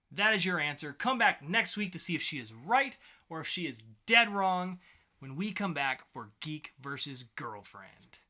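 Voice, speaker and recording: A man's voice: mid-range voice, pushed and bright. A man co-hosting a podcast with playful banter and mock game-show announcing. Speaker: podcast co-host.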